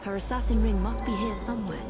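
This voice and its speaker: A woman's voice: mid-range voice, steady tone, fast talker, lightly acted. An actress playing a determined, grim assassin in a video game, speaking tersely and seriously as she works things out to herself. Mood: focused musing. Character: determined, grim female assassin hunting a killer. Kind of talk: dramatic video game acting. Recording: loud game music behind.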